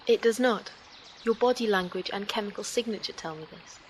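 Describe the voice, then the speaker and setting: A woman playing a calm, analytical alien companion in a sci-fi audio drama, speaking in a measured, formal, literal way. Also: medium pitch, a little hiss or hum, close to an everyday voice. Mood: calm, curious.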